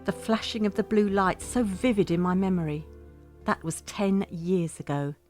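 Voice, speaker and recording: A woman's voice: mid-range voice, normal speed, darkish tone, faint music behind, natural voice. A woman playing a grieving, bitter widowed mother, telling her story in a first-person audio drama monologue. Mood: stunned.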